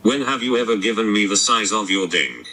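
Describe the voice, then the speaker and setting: Harsh, bright robotic male voice. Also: fairly low pitch, animated, brisk, low-quality recording. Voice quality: synthetic, robotic. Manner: blunt, clipped, insistent. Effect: synthetic robot voice. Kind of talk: confrontational phone call through robot voice.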